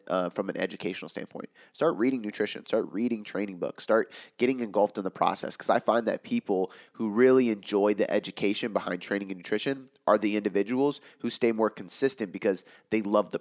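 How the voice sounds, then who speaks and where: A man with a raspy, worn-out voice, hosting a casual podcast Q&A as a fitness coach and explaining in a loose way, with lots of "like" and "um". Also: lowish voice. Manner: loose, filler-heavy explaining with frequent "like" and "um". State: hoarse from talking all day.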